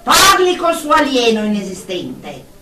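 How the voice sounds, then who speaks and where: An actress playing a stern, condescending therapist in a radio drama, speaking in formal, wordy phrases. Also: female voice, a little high, wildly swooping pitch, unhurried. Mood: scolding. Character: stern, condescending female therapist running group session. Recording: echoey room, distant mic, faint noise.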